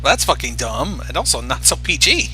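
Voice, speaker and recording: A man's voice: medium pitch, big pitch swings, moderate pace, sharp tone, soft background music. A man giving blunt, sardonic reaction commentary in a casual way as a co-host. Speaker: co-host commenting on a fan fiction reading.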